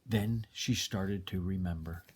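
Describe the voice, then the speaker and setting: A man reading a children's story aloud in an expressive narrating style. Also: low-pitched, a little slow, breathy edge. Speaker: storybook reader.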